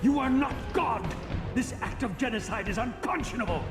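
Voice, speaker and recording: A defiant, outraged undead anti-hero from a fantasy game. The impassioned voice leaps widely in pitch with theatrical fury. It rings with long reverb over music. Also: male voice, animated, normal speed. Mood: furious. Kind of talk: dramatic video game acting. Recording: reverberant hall sound with music.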